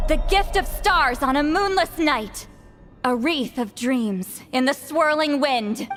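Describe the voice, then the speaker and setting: An actress voicing a haughty, sharp-tongued granny shaman priestess in an anime fantasy game, commanding, teasing and theatrical. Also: high-pitched, heavily acted. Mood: solemn, commanding. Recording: long reverb, music underneath. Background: game music.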